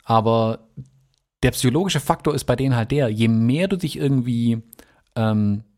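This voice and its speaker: A man's voice: medium pitch, moderate pace, darkish tone. A man from the United States, casually recounting a trip in a rambling, chatty way with many fillers. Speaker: podcast guest. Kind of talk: casual travel storytelling.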